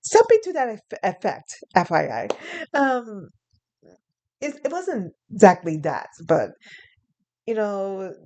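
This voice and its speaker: A woman's voice: medium pitch, huge pitch swings, slow, long pauses, warm tone. A young adult woman from New York telling a personal story in a casual, rambling way, chuckling and correcting herself often. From New York, USA. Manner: rambling, chuckling, many fillers and self-corrections. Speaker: vlogger.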